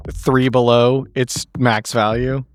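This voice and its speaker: A man's voice: medium pitch, level tone, slow, soft background music. A man chatting casually as a podcast player, with a dry, ironic manner. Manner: dryly ironic. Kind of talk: casual chat.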